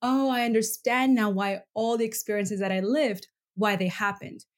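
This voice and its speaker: Woman, mid pitch, earnest, reflective, casual interview guest. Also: steady tone, moderate pace. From Venezuela and Bolivia. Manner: earnest, reflective, with filler ums. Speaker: podcast guest, human rights advocate.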